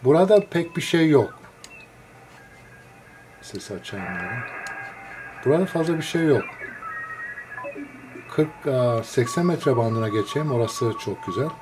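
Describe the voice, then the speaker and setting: Male hobbyist demonstrator, casual and explanatory, hesitant phrasing with pauses, medium pitch, sound playing behind the voice. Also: expressive, music in the background, rough recording. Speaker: amateur radio hobbyist demonstrating a receiver. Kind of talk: casual unscripted screen walkthrough. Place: indoors, filming a computer screen.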